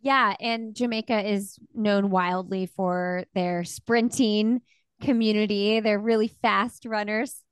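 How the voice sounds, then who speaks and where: A woman podcast host asking interview questions in a brisk, encouraging way. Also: medium pitch, steady tone, clear, resonant tone, dry recording, studio quality.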